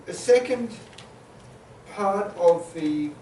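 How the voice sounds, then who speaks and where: A man from New Zealand moderating a conference panel discussion, summarizing points with many pauses and filler sounds. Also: high voice, expressive, mellow, a little hiss or hum. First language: Maori. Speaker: panel facilitator. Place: conference hall. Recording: echoey hall, mic at a distance.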